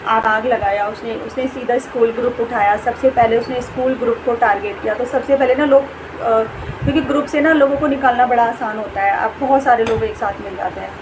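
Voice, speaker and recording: High-voiced Indian woman, noisy recording. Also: brisk. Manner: earnest, explanatory, repeats points for emphasis. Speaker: vlogger and school staff member. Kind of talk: informal spoken warning to viewers. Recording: noisy background hum.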